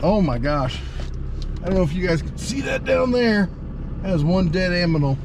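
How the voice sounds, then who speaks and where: A man's voice: sits high, big pitch swings, normal speed. A middle-aged American man hosting a casual vlog as he tests gear outdoors and from a truck. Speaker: host testing two-way radios. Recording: noisy vehicle and outdoor ambience.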